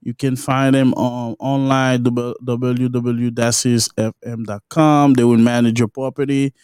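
A man's voice: medium pitch, level tone, measured pace, darkish tone, smooth, no echo, clean studio sound. A man hosts a podcast, reading a sponsor spot and asking his guest questions in an upbeat, encouraging way. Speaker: podcast host. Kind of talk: casual podcast interview, sponsor read.

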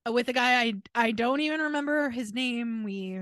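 A woman's voice: on the high side, moderate pace, a bit bright, clear, resonant tone, no echo, clean studio sound. An American woman co-hosting a podcast in casual chat, telling stories in a chatty, wry way. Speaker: podcast co-host.

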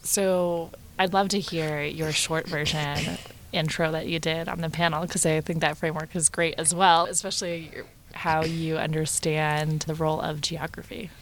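A woman hosting an interview, asking questions attentively with soft murmurs. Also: deep voice, level tone, moderate pace, a little hiss or hum. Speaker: interview host. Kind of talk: conversational interview.

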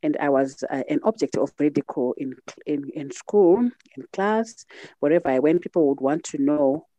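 Mellow middle-aged Zimbabwean woman telling her story. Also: low voice, expressive, normal speed, no echo. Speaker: podcast guest. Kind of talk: reflective personal storytelling in an interview.

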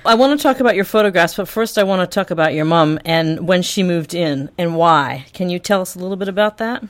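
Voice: mid-range voice, lively intonation, fairly quick. Curious podcast host asking questions. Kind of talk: interviewing a guest.

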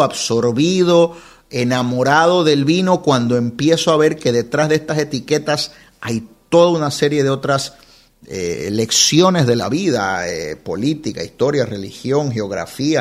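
A man in his forties from Ecuador, chatting as an interview guest, telling stories in an animated way and laughing often. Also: a little high. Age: 40s. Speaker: podcast guest, wine content creator. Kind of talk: casual interview storytelling.

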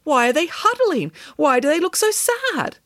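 Woman voicing a high, imperious lady pig nanny. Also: big pitch swings, moderate pace, a bit bright, no echo, clean studio sound. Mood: alarmed. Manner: imperious, exclaiming. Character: bossy, indignant, vain, chocolate-mad small lady pig nanny.

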